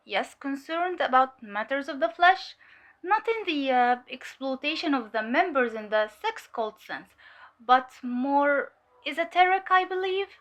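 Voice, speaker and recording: Woman acting bright-voiced female undercover infiltrator. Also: high voice, exaggerated voice. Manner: hesitant, measured recounting. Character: weary, sharp female undercover cult infiltrator giving testimony. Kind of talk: audio drama dialogue, debrief testimony.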